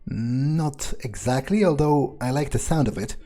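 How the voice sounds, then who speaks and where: A man roleplaying a cynical, amused, bloodthirsty vampire in a video game, husky, musing and sardonic in an improvised way. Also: medium pitch, unhurried, warm tone, close to an everyday voice. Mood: sardonic, curious. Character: cynical, bloodthirsty, amused young male vampire, foul-mouthed.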